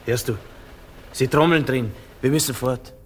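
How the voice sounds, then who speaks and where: An actor playing a simple, timid soldier in a radio drama. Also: male voice, medium pitch, measured pace, slight background noise, natural voice. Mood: frightened, subdued. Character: simple, timid soldier comrade, singing folk songs.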